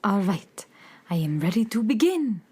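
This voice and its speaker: A woman's voice: medium pitch, sing-song, normal speed, dark tone, breathy edge. A woman playing a melodramatic beatnik cat-girl from the Moon in a children's radio play, with grand, world-weary pronouncements. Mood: weary, resigned.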